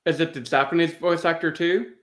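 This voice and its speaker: A man's voice: a little high, steady tone, a little fast, fairly bright tone. A man in casual conversation as a podcast co-host, in a roomy, echoey recording. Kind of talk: casual chat.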